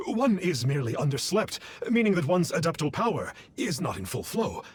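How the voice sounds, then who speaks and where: A man's voice: on the high side, big pitch swings, a little fast, husky. A man playing a pompous, blustering self-styled immortal exorcist with little courage, in a video game. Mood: haughty. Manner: pompous, archaic wording, blustering. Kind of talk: video game character acting. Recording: music behind the voice.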